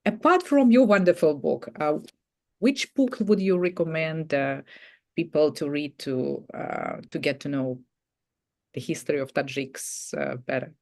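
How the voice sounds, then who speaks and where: Moderator, gravelly fry in the voice, mid pitch; halting delivery with many "uh"s; webinar audio. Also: a little mellow. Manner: halting, many "uh"s, frequent murmured backchannels. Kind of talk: hosting a webinar Q&A.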